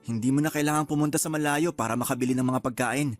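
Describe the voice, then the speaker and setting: Male actor as earnest young husband in radio drama, affectionate and easygoing, medium pitch, soft music bed. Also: fairly even intonation, quick pace, close to an everyday voice. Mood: chatty. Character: hardworking, devoted young husband and father. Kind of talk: Tagalog radio drama acting.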